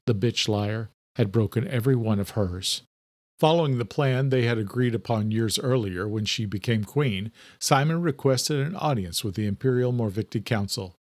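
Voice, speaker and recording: A man giving a steady, scripted narration of a horror audiobook. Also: lowish voice, normal speed, dry recording, clean studio sound.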